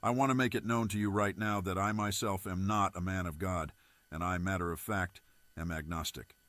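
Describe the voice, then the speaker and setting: A man plays an unsettled reporter in a disaster drama. His voice is very low and recorded dry, with no room around it. He speaks in a measured, earnest way, as if confiding something grave. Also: fairly even intonation, fairly bright tone, no echo. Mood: disbelieving. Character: unsettled male news reporter revealing a leaked monster report. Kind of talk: scripted spoken report in an amateur disaster drama.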